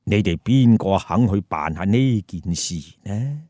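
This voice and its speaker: A man voicing a serene, grave Buddha in measured, solemn pronouncements for a story. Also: fairly low pitch, animated, warm tone, dry recording, clean studio sound, voice pushed down. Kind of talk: storytelling character voice.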